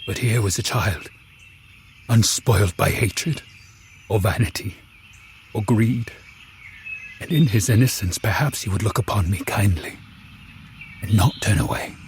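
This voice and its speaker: An actor playing a vengeful, sorrowful man-made monster who tells his own tale gravely and formally in an audio drama. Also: lowish voice, a little hiss or hum. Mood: wistful. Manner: grave, deliberate, formal storytelling.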